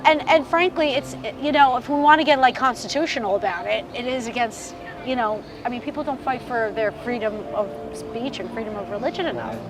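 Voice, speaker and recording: High, distant-miked woman, emphatic. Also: expressive, brisk, few pauses. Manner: informal, emphatic. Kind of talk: spontaneous personal testimony. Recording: distant mic.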